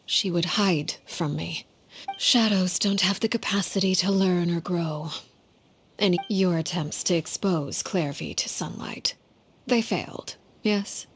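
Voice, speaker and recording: A woman voicing a cold, composed and menacing guardian in a video game, speaking in a measured, formal, ominous manner. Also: on the low side, slight background noise. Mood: solemn, composed. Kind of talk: video game voice acting, storytelling dialogue. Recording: music behind the voice.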